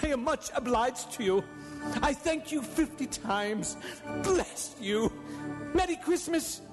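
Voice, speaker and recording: Exuberant old reformed miser, very high swooping voice, stammering, radio play in a reverberant hall. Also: male voice, expressive, slow, exaggerated voice. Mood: earnest, grateful. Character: elderly miser turned giddy, joyful and generous. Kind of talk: live radio drama acting. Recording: live stage radio broadcast, long hall reverb. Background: music.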